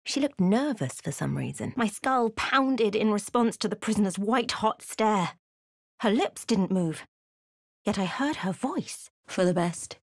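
A woman voicing a solemn adventurer who narrates a fantasy game journey in a measured storytelling manner. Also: mid-range voice, animated, no room sound, studio quality.

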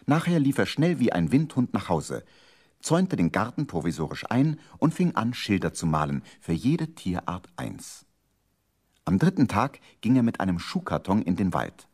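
Animated, husky male storyteller. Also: lowish voice, moderate pace. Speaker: narrator. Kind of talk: scripted storytelling in a children's radio play.

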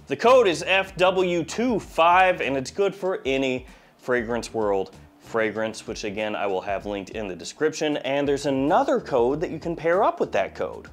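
A man in his forties with a slight head cold, giving a casual, jokey product review as a video host. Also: mid-range voice. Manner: playful, jokey, wry asides. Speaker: fragrance review channel host. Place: small indoor studio.

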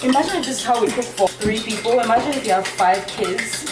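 A woman's voice: fairly high pitch, fast, reverberant, loud music behind the voice. A woman in her twenties with a Ghanaian accent, chatting playfully and teasing friends while vlogging from a kitchen on a handheld camera. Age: 20s. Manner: playful, teasing, laughs often. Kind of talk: casual vlog chat. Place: kitchen and grocery store indoors.

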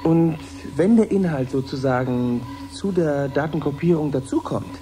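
An actor playing an earnest live radio reporter in a radio play, formal, explanatory and expectant. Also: male voice, fairly high pitch, moderate pace, over music, natural voice. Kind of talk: German radio play acting as a live broadcast.

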